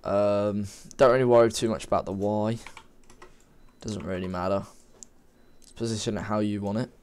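A man with a somewhat low voice, a little stuffed up and sniffly from a cold. He talks informally at his desk, wandering and correcting himself as he types through a game-making tutorial. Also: steady tone, normal speed, darkish tone, a little hiss or hum. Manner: informal, rambling, self-correcting while typing. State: has a cold, a bit sniffly. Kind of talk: casual screen-recorded coding tutorial. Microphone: desk microphone.

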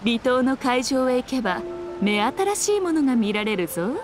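Sly ancient shrine maiden, high elegant voice, archaic wording, haughty, teasing, anime game, music underneath. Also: female voice, very animated, moderate pace. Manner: archaic, haughty, playfully self-assured speech. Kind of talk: Japanese anime game voice acting.

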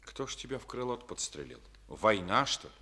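A deep-voiced, firm party secretary. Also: male voice, normal speed. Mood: probing. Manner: calm questioning, then stern rebuke. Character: male district party secretary, firm, probing. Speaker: party official. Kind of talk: 1950s studio radio play acting.

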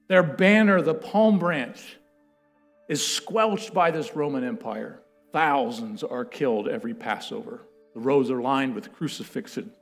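A man in his thirties delivers a sermon from a church stage, his fairly high voice rising and falling as he tells the story. Faint music sits under his voice. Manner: animated storytelling, building to loud chanted quotes. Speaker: pastor. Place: church stage with a lectern, large indoor room.

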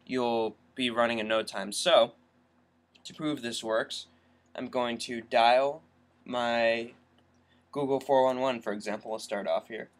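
A man's voice: medium pitch, fairly even intonation, unhurried. Casual young man, tech vlogger. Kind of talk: casual screen-recorded tutorial.

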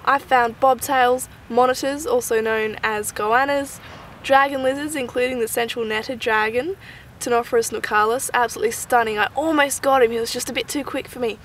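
A woman's voice: on the high side, fairly even intonation, moderate pace, a bit bright, slight background noise. A young Australian woman giving casual, enthusiastic wildlife commentary in a gushing, chatty way with informal slang. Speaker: wildlife vlogger.

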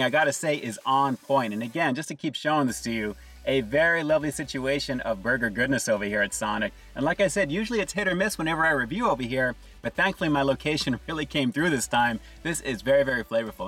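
Upbeat fast-talking man in a car. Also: medium pitch, a bit bright, husky, distant mic. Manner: upbeat, enthusiastic, often says "guys". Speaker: food reviewer. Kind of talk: food review vlog. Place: inside a parked car.

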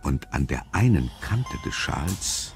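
A man narrating a scripted suspense story in a measured, ominous manner. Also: rumbling low, sing-song, over music.

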